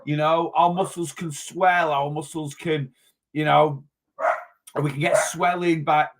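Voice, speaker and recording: Hoarse, chatty weight loss coach. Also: male voice, a little high, fairly even intonation, a little slow. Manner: chatty, rambling, self-interrupting. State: hoarse, losing his voice. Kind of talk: casual vlog chat.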